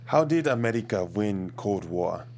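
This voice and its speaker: A man's voice: lowish voice, lively intonation, measured pace, darkish tone, a little hiss or hum. A man playing a hulking, menacing thug at a script read, speaking in blunt broken English. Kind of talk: live script table read.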